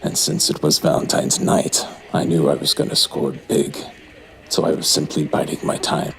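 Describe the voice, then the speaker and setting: A man playing a bitter, menacing psychopath, muttering a brooding audio drama monologue in a gravelly voice. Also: very deep voice, little pitch movement, slight background noise. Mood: brooding, callous. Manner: brooding, slow, muttering to himself. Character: trapped, bitter male psychopath narrating his downfall, menacing.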